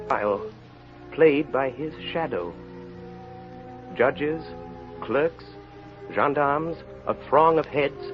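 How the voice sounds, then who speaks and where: A man's voice: mid-range voice, lightly acted. A man playing a dignified, conscience-stricken mayor in an old radio drama, grave and theatrical in delivery. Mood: brooding, haunted. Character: dignified middle-aged mayor with a tormented conscience, solemn and earnest. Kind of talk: 1930s radio drama acting. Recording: dull old radio recording with music.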